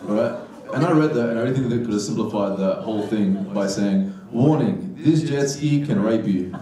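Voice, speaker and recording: A man's voice: lowish voice, animated, fairly quick, very noisy. A man from Iraq doing a crude, blunt stand-up comedy set on a club stage. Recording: roomy club sound from a distance with loud crowd laughter.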